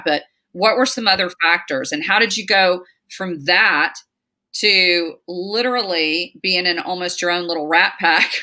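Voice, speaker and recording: Bright-voiced Southern woman host. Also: fairly low pitch. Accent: Southern US drawl. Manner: chatty, fast-talking. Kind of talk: casual interview talk.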